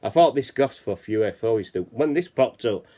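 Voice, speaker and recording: A man's voice: medium pitch, animated, fairly quick, warm tone. A man with a Yorkshire accent hosting a casual chat channel, reading out reports and rambling, often saying "you know what I mean". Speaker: channel host.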